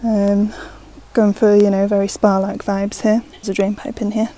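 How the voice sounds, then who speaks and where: A young woman giving a relaxed, chatty walkthrough of her land and barn, with plenty of fillers and soft laughs, recorded on an earphone mic outdoors. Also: medium pitch, normal speed. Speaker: vlogger. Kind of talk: casual walkthrough narration.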